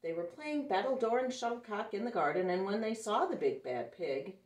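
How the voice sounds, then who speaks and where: A woman's voice: medium pitch, lively intonation, moderate pace. A woman reading a picture book aloud to children in a playful, sing-song way, recorded indoors. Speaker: children's story reader. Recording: echoey room, mic some distance away.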